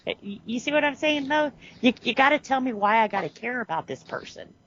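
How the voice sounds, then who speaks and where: A woman co-hosting a podcast, chatting casually about a TV series in an animated way, full of restarts and "you know". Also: a little high, fairly quick, muffled recording, a little hiss or hum. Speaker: podcast co-host. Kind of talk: casual chat reviewing a TV series.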